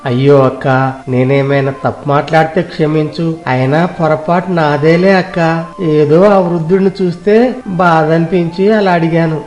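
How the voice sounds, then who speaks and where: A man's voice: on the high side, fairly even intonation, moderate pace, darkish tone, natural voice. A man voicing a kind, humble young village cloth peddler in a story, earnest, deferential and pleading. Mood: apologetic. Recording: roomy echo, music behind.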